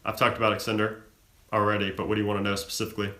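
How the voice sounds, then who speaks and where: A man's voice: fairly low pitch, completely flat delivery, very fast, pushed and bright, reverberant. A young man from the American South, hosting a live stream and reading viewer questions aloud before answering them offhand. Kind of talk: casual live Q&A chat.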